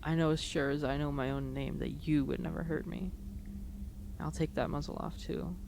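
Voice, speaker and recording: A woman playing an emotional young huntress in a dramatic roleplay monologue, speaking in an earnest, halting way. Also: low-pitched, fairly even intonation, gravelly. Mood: remorseful. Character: emotional young huntress reunited with her lost friend. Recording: noticeable background noise.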